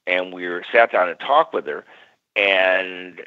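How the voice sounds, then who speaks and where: An older male parapsychologist telling stories as a radio guest, lively, acting out quoted voices. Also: low voice, slow pace. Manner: animated, acts out quoted voices. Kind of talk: storytelling in a radio interview. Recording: muffled radio audio.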